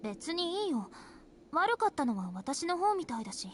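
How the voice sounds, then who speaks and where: A woman's voice: extremely high pitch, very animated, fast talker, a bit bright, a little hiss or hum, heavily acted. A woman playing a pouty, carefree young female vampire in a Japanese visual novel. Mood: mild, curious.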